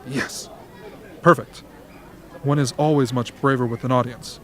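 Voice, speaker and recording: A man playing a smooth, pragmatic, media-minded strategist in an amateur radio drama. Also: medium pitch, steady tone, a little slow, a faint crowd, lightly acted. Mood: encouraging.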